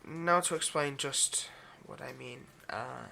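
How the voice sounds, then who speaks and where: A man's voice: medium pitch, slow, creaky, bright, forceful tone, a little hiss or hum, full character voice. A man playing a nervous, obsessive vampire lab technician in an intimate ASMR roleplay, with hesitant, stammering, overly polite delivery. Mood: secretive, earnest.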